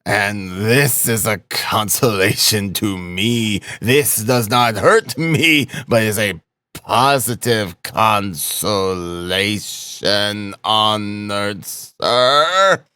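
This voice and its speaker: Man acting meek drunken husband, abject declamation, very drawn-out delivery, audiobook, dry close sound. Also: on the low side, animated, pushed and bright, smooth, no echo, full character voice. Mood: abject, fervent. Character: submissive, wretched drunken husband, perversely consoled by punishment.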